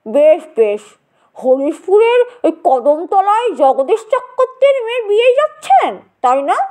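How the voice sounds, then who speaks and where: A woman voicing a genial, know-all elderly village gentleman in an audio story, chatty and persuasive. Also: extremely high pitch, a little slow, pitched above the performer's own voice, full character voice. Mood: genial, inquisitive. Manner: calm, chatty, knowing, persuasive.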